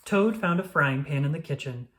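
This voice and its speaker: A narrator reading a children's story aloud in an expressive, scripted read-aloud style. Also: medium pitch, moderate pace.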